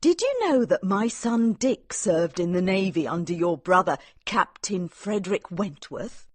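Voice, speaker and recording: A grieving mother who dotes on her lost son, as a male narrator puts her on. The voice is lifted high into a lilting falsetto, slow and emotional. The read is dry and close, with no room sound. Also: animated, no room sound. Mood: doting. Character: grieving older mother, doting and tearful. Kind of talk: audiobook character voice.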